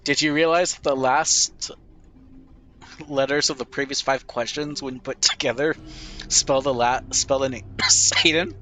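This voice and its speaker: Young male gamer, nasal and bright, thinks aloud with rambling, self-deprecating answers. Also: medium pitch, big pitch swings, measured pace, a little hiss or hum. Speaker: gamer playing a question game. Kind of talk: casual reflective commentary.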